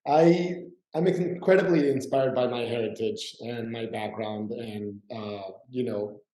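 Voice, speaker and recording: A man from Mexico City answers a panel question about his perfume work. His voice is very dark and mellow, and it sounds roomy and echoey. He speaks reflectively and pauses often. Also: mid-range voice. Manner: reflective, with frequent fillers and restarts. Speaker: perfumer and brand founder.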